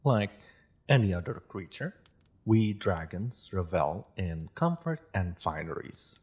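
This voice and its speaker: A man voicing a gentle, courteous dragon host in a roleplay audio drama, speaking politely and a little hesitantly to the listener. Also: low voice, very animated, a little slow, husky, exaggerated voice. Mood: pleased, chatty. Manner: polite, refined, hesitant, softly chuckling host. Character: courteous, gentle dragon hosting tea in its cave.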